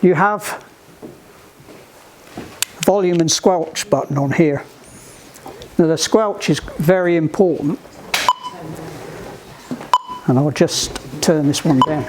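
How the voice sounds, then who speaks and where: A man giving hands-on instruction to a group in a halting, deliberate, emphatic way, on an amateur recording. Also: on the high side, background noise. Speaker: sailing club instructor giving radio training.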